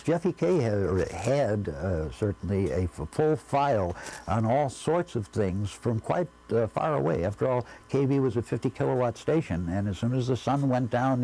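A man in his sixties with a North American accent, reflecting in an interview in measured, deliberate, formal phrasing. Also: mid-range voice, mellow, low-quality recording. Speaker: interviewee, former radio station staffer. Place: quiet indoor room. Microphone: lapel.

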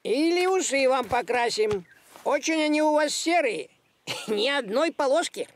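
A high-pitched, drawling cartoon animal. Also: male voice, expressive, measured pace, a little hiss or hum, exaggerated voice. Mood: teasing.

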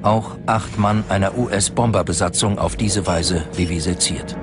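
A man's voice: deep voice, unhurried, over music. A man from reads a scripted documentary narration in a sober, factual way.

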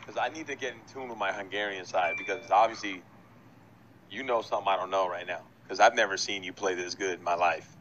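A young man streaming a game over a headset, joking, swearing and laughing loudly while he plays with a friend. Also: low voice, quick pace, slight background noise. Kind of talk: casual gameplay chat. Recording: slightly dull audio with loud music behind. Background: music playing.

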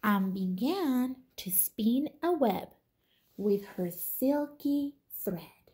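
A young woman, a toddler teacher, reads a picture book to young children in a deliberate, sing-song way. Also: on the high side, expressive, mellow. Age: young adult. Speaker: toddler teacher reading aloud. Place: small living room, indoors on a couch.

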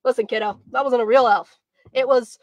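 A woman's voice: on the high side, fairly even intonation, fairly quick, darkish tone, no echo, dull audio, close to an everyday voice. A woman voicing a brusque but helpful robot detective in a children's storybook reading, with old-fashioned detective talk.

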